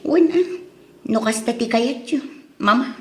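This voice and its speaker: A woman's voice: fairly high pitch, expressive, a little slow. A woman playing a haughty, proud young wife in an Ilocano radio drama, with an Ilocano accent. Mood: guarded. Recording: roomy echo.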